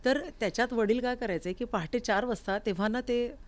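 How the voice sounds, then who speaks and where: A woman's voice: fairly high pitch, brisk. A woman hosting a conversational interview, asking questions and telling anecdotes. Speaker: interview host. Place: recording studio.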